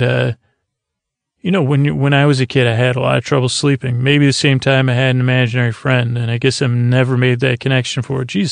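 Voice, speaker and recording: Middle-aged male sleep-podcast host: heavy vocal fry, digressive and halting delivery, dry recording. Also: medium pitch, no room sound. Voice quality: gravelly, creaky. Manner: halting, digressive, trails off and restarts mid-sentence. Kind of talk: rambling, meandering bedtime monologue.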